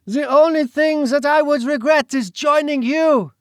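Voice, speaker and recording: A man voicing a frightened young woman who refuses and cries out in a high, strained voice. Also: measured pace, dry recording, clean studio sound. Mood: defiant.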